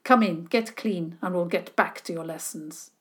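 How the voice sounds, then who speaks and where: A woman's voice: lowish voice, moderate pace, natural voice. Woman doing a precise, strict male tutor. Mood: stern. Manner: precise and clipped.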